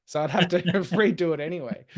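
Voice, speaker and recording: A young man, a podcast guest chatting casually and telling lively stories. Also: high-pitched. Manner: lively, quick storytelling with laughs and restarts. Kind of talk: casual conversation.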